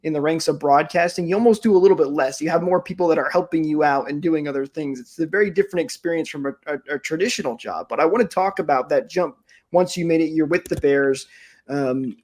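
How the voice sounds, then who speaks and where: A man's voice: fairly high pitch, quick pace, a little mellow. A young man co-hosting a podcast, agreeable and chatty as he interviews in a conversational way. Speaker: podcast co-host, minor league baseball broadcaster.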